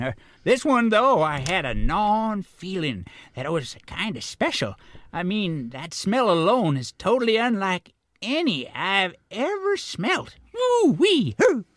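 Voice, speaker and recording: A man's voice: high-pitched, big pitch swings, moderate pace, fairly bright tone, dry recording. A man voicing a wrinkled old mole janitor in a comic audio drama, with a chuckling, folksy old-timer way of talking. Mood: excited, chatty. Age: older.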